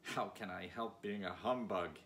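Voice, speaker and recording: A little humbug wizard out of a storybook, acted by a man reading aloud. He is breezy and reassuring, delivering his showman's patter with emphasis. Clear noise sits behind the voice. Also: deep voice, unhurried, a bit bright. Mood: musing, rueful.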